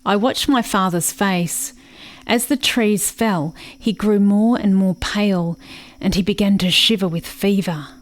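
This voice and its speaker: A woman acting in a radio play as a haunted, grieving daughter recalling a rural childhood in a measured, solemn monologue. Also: mid-range voice, lightly acted. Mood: haunted, questioning. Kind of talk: reflective dramatic monologue.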